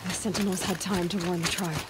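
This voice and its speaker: An actress playing a capable, caring young huntress in a video game, with a dry, matter-of-fact manner. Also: female voice, lowish voice, fairly even intonation, quick pace, heavy background noise, natural voice. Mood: somber, concerned. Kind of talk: video game dialogue acting.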